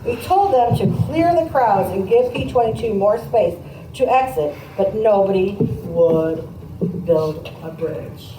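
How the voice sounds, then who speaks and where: A woman's voice: medium pitch, animated, slow pace, dark tone. Female teacher doing a conversational read-aloud, explanatory and questioning, recorded at a distance with some background noise. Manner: explanatory, prompting listeners with questions. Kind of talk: reading aloud to children with conversational asides. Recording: roomy, distant mic, noisy room.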